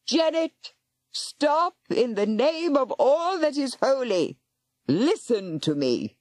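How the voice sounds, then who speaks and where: A woman doing a brisk, commanding elderly aunt. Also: high-pitched, sing-song, a little mellow, dry recording, studio quality, voice pushed up, full character voice. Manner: commanding, crisp.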